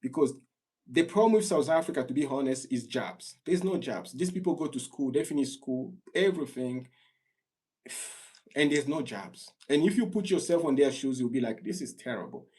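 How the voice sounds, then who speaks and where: A man from Congo hosting a live stream Q&A, giving earnest, repetitive immigration advice to callers and rising in pitch when worked up. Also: animated, moderate pace, a little mellow, breathy edge.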